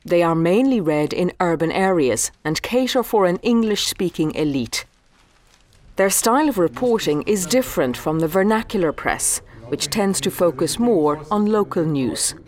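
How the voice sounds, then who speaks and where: A reporter reading scripted news narration in an even, informative way. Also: medium pitch, normal speed.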